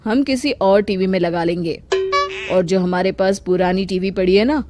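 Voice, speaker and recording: A woman's voice: mid-range voice, normal speed, over music, lightly acted. Woman acting a greedy, brusque repairman. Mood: bossy, scheming. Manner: dismissive, hard-nosed, wheedling. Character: greedy, brusque, dishonest male village repairman. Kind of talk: children's storybook acting.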